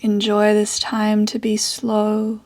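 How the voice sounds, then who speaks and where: A woman leading a guided meditation as a yoga teacher, speaking softly and breathily in a soothing way with long pauses. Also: medium pitch, completely flat delivery.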